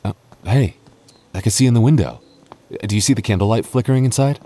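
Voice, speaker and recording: A man playing a nervous, affectionate young boyfriend in an intimate roleplay audio drama, speaking softly with hesitant stammers. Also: mid-range voice, quick pace, a little hiss or hum, close to an everyday voice. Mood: teasing, wary. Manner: hesitant, stammering, soft-spoken intimate delivery.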